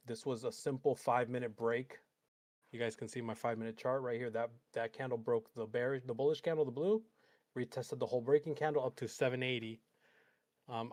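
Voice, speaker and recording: A man's voice: mid-range voice, dry recording. A man hosting a live trading stream, talking through charts and chatting with an easy laugh. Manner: chatty, laughs easily, thinks aloud. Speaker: host trader.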